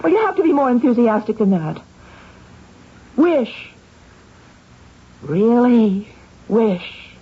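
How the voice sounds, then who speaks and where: A dull, lo-fi old radio drama recording of a bitter, scheming wife. Her voice is fairly high and husky, with an insistent, theatrical delivery. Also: sing-song, slow pace, pauses often, heavily acted. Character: bitter, scheming wife of a poorly paid professor.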